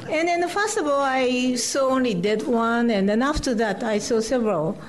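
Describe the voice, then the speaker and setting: A woman poet from Japan with a Japanese accent, her voice sitting a little high. She talks haltingly, with lots of fillers and laughter. Faint background noise sits under her live festival reading. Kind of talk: live poetry reading with chatty introduction. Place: festival stage before an audience.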